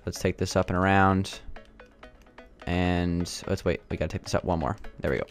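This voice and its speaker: Young male gaming host, low voice, a touch raspy, hesitant, unhurried chatter. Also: fairly even intonation, soft background music. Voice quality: slightly raspy from yelling. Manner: thinking aloud, hesitant, many fillers. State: sore throat. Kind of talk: casual gameplay commentary.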